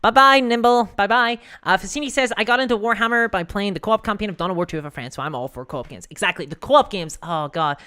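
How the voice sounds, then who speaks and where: A livestream host with a hoarse voice, chatting casually and self-deprecatingly about tabletop games and answering viewers. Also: medium pitch, fast, hardly any pauses, pushed and bright. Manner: giggly, laughs often while talking.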